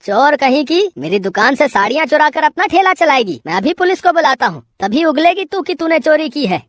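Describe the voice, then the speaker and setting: A woman's voice: high voice, sing-song, brisk, no room sound, studio quality. A woman voicing a stern male shop owner in a cartoon moral story, scolding and commanding. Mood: angry.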